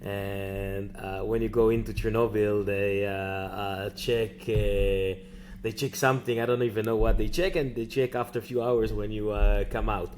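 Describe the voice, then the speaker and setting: A man co-hosting a podcast who tells an anecdote haltingly, with many hesitations. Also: on the low side, steady tone, a little mellow, a little hiss or hum. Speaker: podcast co-host. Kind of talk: casual anecdote.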